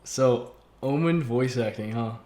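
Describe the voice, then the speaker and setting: Man, 20s, American accent, medium pitch, game streamer host, excitable, slangy, casual conversation. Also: a little slow, reverberant, a little hiss or hum. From Massachusetts, United States. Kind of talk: casual chat.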